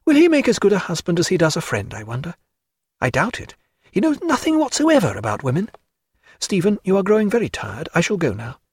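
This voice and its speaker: Brief: man playing a genteel lady, raised voice, quick and animated, slightly husky, dry read. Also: high-pitched, no echo, voice pushed up, heavily acted. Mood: fond, chattering. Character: elegant lively woman, fond and teasing. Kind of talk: audiobook character voice.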